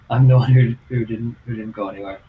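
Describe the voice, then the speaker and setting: A man's voice: mid-range voice, fairly even intonation, a little fast, phone-quality sound, a little hiss or hum. A man from Toronto, a band member, chatting casually and rambling with many fillers. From Toronto, Canada. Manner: rambling, lots of "like" and restarts. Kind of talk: casual livestream chat.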